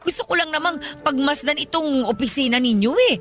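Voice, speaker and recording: A woman's voice: sits high, brisk, fairly bright tone, faint music behind. A woman playing a lonely, demanding old godmother who talks in a pushy, coaxing way in a radio drama. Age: older.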